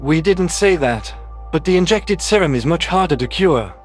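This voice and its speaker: A man voicing a calm, candid, friendly male alien doctor in a science fiction audio story. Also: on the high side, normal speed, faint music behind, close to an everyday voice. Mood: explanatory.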